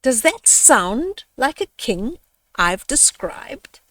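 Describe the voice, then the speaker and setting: A woman voicing a wise old owl in a children's storybook, lecturing the animals in a measured, authoritative way. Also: fairly high pitch, big pitch swings, bright, forceful tone, no room sound, recorded from a distance, exaggerated voice. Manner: measured, instructive, rhetorical. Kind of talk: children's storybook read-aloud.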